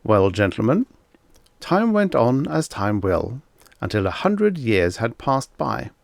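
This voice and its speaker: A man voicing a pompous professor of the future in an audiobook story, lecturing didactically with declamatory, deliberate emphasis. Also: mid-range voice, very animated, vocal fry, dry recording, full character voice. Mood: pompous, didactic.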